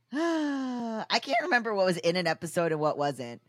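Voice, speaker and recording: A woman's voice: medium pitch, sing-song, normal speed, fairly bright tone, dry recording, clean studio sound. A woman co-hosting a comedy podcast, telling anecdotes in a colloquial, teasing, slangy way. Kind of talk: casual comedic chat and anecdote.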